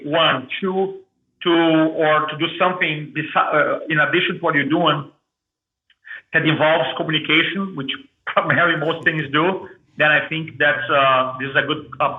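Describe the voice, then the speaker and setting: A man from Brazil with a thick Brazilian Portuguese accent, telling his story as an interview guest in an energetic, restarting way. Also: a little high. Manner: energetic, repeats and restarts words. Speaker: guest, public speaking coach. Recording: echoey room over phone-like line.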